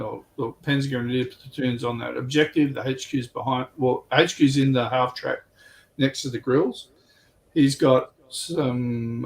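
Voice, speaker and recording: A man with a medium-pitched voice talks informally through a wargame he played. His delivery is halting and slangy, with a readiness to mock himself. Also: vocal fry, slight background noise. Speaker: tabletop wargame player on a livestream. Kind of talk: casual chat, informal game recap.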